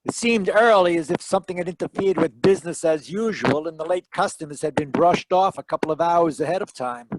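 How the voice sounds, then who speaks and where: An actor playing a wry, hardboiled private detective in an old-time radio drama, narrating in dramatic noir fashion. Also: male voice, fairly high pitch, a little fast, few pauses, very noisy, lightly acted. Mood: businesslike.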